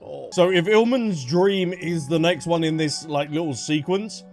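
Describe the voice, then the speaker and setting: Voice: medium pitch, a little slow, soft background music. A game streamer giving casual, reactive commentary, thinking aloud while playing.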